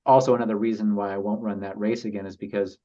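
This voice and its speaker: A man speaking as a podcast guest, telling personal stories in a halting, reflective and earnest way. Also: lowish voice, flat delivery, dark tone. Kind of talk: casual interview storytelling. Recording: slightly dull lo-fi audio.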